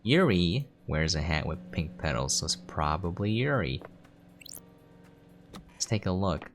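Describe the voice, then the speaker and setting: A man's voice: deep voice, very animated, a little slow. A man giving casual gameplay commentary, explaining and thinking aloud as he plays. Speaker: game streamer. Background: game music.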